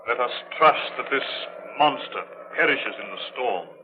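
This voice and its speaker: A noisy, tinny 1930s radio recording carries a man playing a ship's captain. His mid-pitched voice is formal and commanding, yet courteous. The delivery is classic old radio drama. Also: lively intonation, a little slow, natural voice. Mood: firm, courteous. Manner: formal, commanding, clipped diction.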